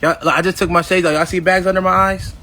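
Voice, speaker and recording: A swaggering man in his fifties talks straight to his audience in a loose, boastful manner. His voice sits a little high for a man. A faint hiss runs under the recording. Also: little pitch movement, quick pace. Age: 50s. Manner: swaggering, addresses viewers directly as y'all; acts out past conversations in quotes. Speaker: vlogger. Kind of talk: casual boastful livestream talk.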